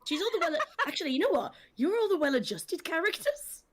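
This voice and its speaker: A woman's voice: very high-pitched, big pitch swings, brisk, slight background noise. A talkative woman hosting a casual livestream panel, speaking with an emphatic manner. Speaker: host of an audio drama discussion panel.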